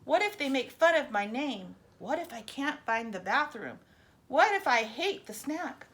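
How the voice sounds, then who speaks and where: A woman's voice: high voice, big pitch swings, a little slow, fairly bright tone, heavily acted. A woman reading the part of an anxious little girl mouse in a storybook, fretful and earnest.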